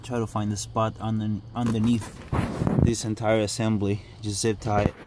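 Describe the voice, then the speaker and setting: A man's voice: on the low side, level tone, moderate pace. A man giving an informal hands-on how-to in short, step-by-step phrases, recorded on a handheld camera in and beside a car. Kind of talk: informal hands-on tutorial narration. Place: in and beside a parked car, outdoors. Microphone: handheld camera or phone mic. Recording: clear background noise, handheld camera recording.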